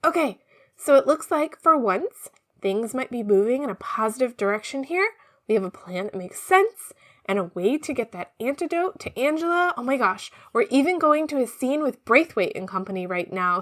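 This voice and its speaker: A woman's voice: on the high side, animated, moderate pace, fairly bright tone. A woman playing a chatty, slangy, conspiratorial narrator in an audio comedy, talking casually and gossipily. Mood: nervously upbeat. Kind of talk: conversational audio drama narration.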